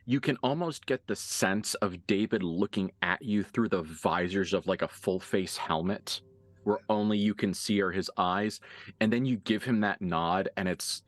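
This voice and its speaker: Man, low-pitched, dry close sound, game master, vivid dramatic horror narration, improvised. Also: no echo.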